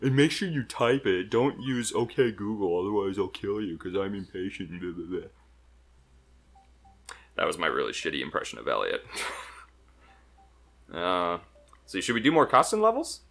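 A man's voice: low voice, sing-song, normal speed, creaky, long pauses, a bit bright. A young man in casual, profane banter as he plays and hosts a gaming video.

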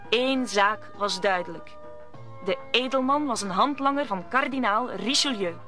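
A woman's voice: on the high side, fairly bright tone. A woman narrating a scripted radio play as a storyteller.

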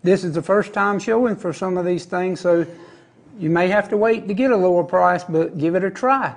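A man's voice: high voice, normal speed, vocal fry. A man hosting a TV shopping show, talking up items in folksy, chatty salesman banter from an indoor studio set on a lapel mic. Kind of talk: TV shopping pitch.

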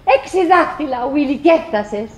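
An actress playing a chattering, wistful, nagging wife who clings to cheer, in halting, repetitive theatrical monologue for an absurdist radio play. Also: female voice, high voice, animated. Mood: coaxing, tender. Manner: halting, repetitive, theatrical, mimicking remembered dialogue.

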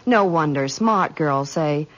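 A woman's voice: low-pitched, animated, slow pace, fairly bright tone. A woman reading scripted 1940s radio advertising as a commercial spokeswoman. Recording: muffled old radio recording.

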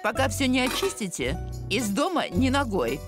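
A woman voicing a strict, house-proud middle-aged village woman in an animated cartoon, prim and bossy. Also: fairly high pitch, moderate pace, over music. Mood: stern.

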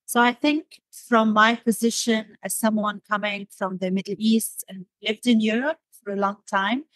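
A woman talks in a thoughtful interview style at a middle pitch, hesitating as she searches for words. The recording is dry, with very little room sound. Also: steady tone, moderate pace, fairly bright tone, no room sound, low-quality recording. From Damascus, Syria. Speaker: podcast guest, designer.

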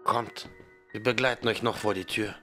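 An actor playing a calm, grieving father of a missing girl in a fan audio drama. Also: lowish voice, moderate pace, faint music behind.

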